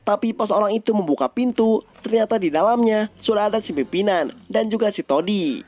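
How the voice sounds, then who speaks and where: A man's voice: sits high, lively intonation, brisk, a little mellow. A man with an Indonesian accent narrating a story recap in a casual, colloquial storytelling style.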